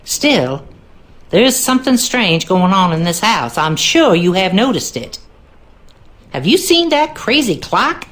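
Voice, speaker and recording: A woman's voice: medium pitch, very animated, unhurried, fairly bright tone. An actress playing a wry, superstitious cleaning woman in a video game, with a Southern US accent and a knowing, ominous way of talking. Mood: wry, folksy. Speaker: game character, cleaning lady. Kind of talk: video game character dialogue.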